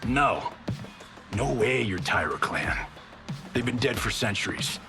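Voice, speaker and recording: Cocky, animated action movie star. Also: male voice, over music. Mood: alarmed, then defiant. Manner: brash, theatrical, fast-pitching talker. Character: cocky, showboating martial-arts movie star man, desperate for fame. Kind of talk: video game cutscene acting.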